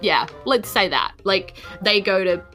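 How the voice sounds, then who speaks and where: A woman's voice: mid-range voice, lively intonation, a little slow, music in the background. A woman acting as game master, casually narrating a tabletop role-playing game.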